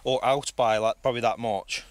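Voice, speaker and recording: A man's voice: mid-range voice, fairly even intonation, normal speed, no echo. A man, chatting casually and thinking aloud as he explores outdoors, recorded on a phone held at arm's length. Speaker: vlogger. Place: outdoors in a forest.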